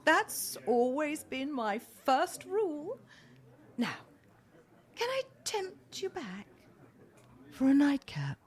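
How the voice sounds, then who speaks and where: Actress as high, swooping coquette. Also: female voice, sing-song, takes it slowly, pauses often, exaggerated voice. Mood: coquettish. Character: genteel woman, coquettish, gushing, flattering seductress. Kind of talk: radio play acting.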